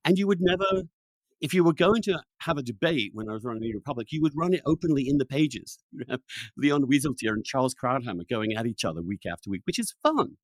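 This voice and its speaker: Man talking in halting, restarting bursts. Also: medium pitch, expressive, no echo, rough recording. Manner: halting, repeats and restarts phrases. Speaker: podcast host. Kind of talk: conversational interview with opinionated commentary.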